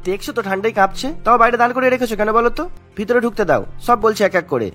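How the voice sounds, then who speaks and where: A man voicing a young newlywed husband in a cartoon, hurried and placating as the family peacemaker. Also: sits high, lively intonation, a bit bright, soft background music. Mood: urgent, pleading. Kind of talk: Bengali cartoon voice acting.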